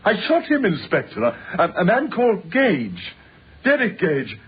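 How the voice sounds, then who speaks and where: Frantic confused british husband in old radio drama, stammery, pitch all over the place, muffled noisy recording. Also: male voice, wildly swooping pitch, normal speed, husky, heavily acted. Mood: bewildered. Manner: stammering, agitated, broken phrasing. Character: distraught, confused husband confessing to a shooting.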